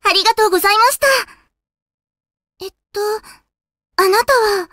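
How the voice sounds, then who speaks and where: A woman voicing a polite, gentle, earnest young girl spirit in a Japanese anime-style video game. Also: very high voice, moderate pace, dry recording, studio quality. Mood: polite, calm. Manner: polite, earnest, soft-spoken courtesy.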